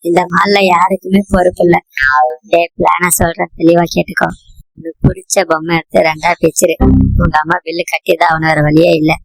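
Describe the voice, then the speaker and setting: A woman's voice: fairly low pitch, flat delivery, a little fast, vocal fry, no room sound, soft background music, poor audio. A woman voicing a bossy, mischievous young boy, a confident, teasing schemer in a comedy cartoon. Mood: scheming.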